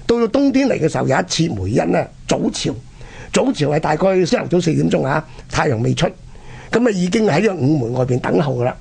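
A man with a Hong Kong Cantonese accent and a husky, gravelly voice, telling history conversationally and dramatically as a radio presenter. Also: on the high side, big pitch swings, fast talker, darkish tone. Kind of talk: animated conversational history storytelling.

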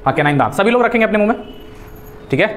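A man's voice: high-pitched, expressive, very fast, fairly bright tone, slight background noise. A man in his thirties with an Indian accent, instructing a class emphatically as a voice coach. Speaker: voice and spoken English coach. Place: small indoor classroom. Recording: echoey room.

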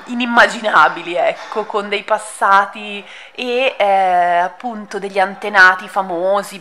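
A woman's voice: mid-range voice, bright, forceful tone. A woman giving an informal spoken book review, hesitant and thinking aloud as she goes. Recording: audible background noise.

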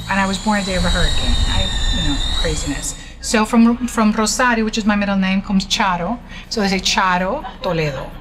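A middle-aged woman from Puerto Rico, a writer, speaking in an interview in an office, with lively, expressive storytelling. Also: mid-range voice. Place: indoor office. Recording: music playing underneath.

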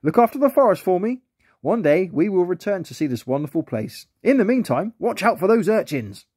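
A man's voice: high voice, big pitch swings, brisk, a little mellow, breathy edge, no echo, clean studio sound, pitched above the performer's own voice, exaggerated voice. A man voicing a kindly, proper grown male lobster who explains sea life in a children's story. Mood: fond.

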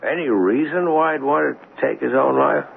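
A man acting a blunt, suspicious police sergeant in a 1970s radio drama, with gruff, clipped, informal talk. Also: on the high side, normal speed. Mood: brisk, probing. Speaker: actor in a radio mystery drama. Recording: muffled, band-limited, noisy old radio recording.

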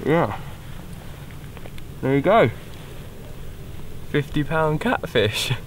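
A man's voice: fairly high pitch, very animated, extremely slow, poor audio. A young British man talking casually as an angler in an outdoor fishing vlog. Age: young adult. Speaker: angler presenting a fishing video. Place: outdoors at a lakeside at night. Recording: clear background noise outdoors.

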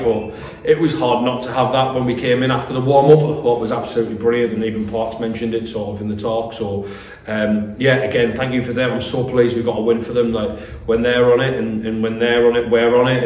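A man's voice: medium pitch, darkish tone. A British man in his thirties, a football manager giving a post-match interview, repeating words as he finds his phrasing. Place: small bare-walled room indoors. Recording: echoey room, distant mic, faint noise.

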